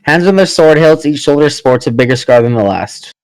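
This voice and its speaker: A man's voice: a little high, lively intonation, brisk, a little mellow, clear, resonant tone, no echo, low-quality recording. A man playing a party game, reading narration aloud and chatting.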